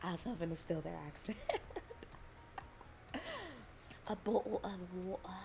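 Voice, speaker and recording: A woman's voice: fairly low pitch, fairly even intonation, unhurried, vocal fry, a little mellow, slight background noise. A young adult woman from Alabama chatting casually on a livestream, giggling often and rambling between thoughts. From Alabama, US South. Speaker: streamer.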